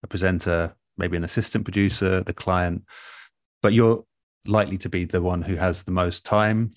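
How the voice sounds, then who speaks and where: A man with a low voice talks as a guest in a relaxed interview. He is thoughtful and articulate, measuring his answers with pauses. The sound is dry, with very little room to it. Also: no echo. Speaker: guest, radio and podcast producer.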